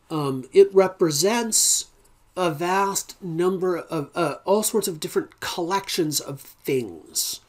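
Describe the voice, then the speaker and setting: A middle-aged man gives a recorded philosophy lecture from a book-lined home study, talking into his webcam. He takes his time, pausing often and filling the gaps with ums and uhs as he works his way through each idea. His delivery is unhurried and plainly unscripted. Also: on the high side. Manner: unhurried, thinking aloud with many ums and uhs. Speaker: philosophy instructor. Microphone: webcam at desk.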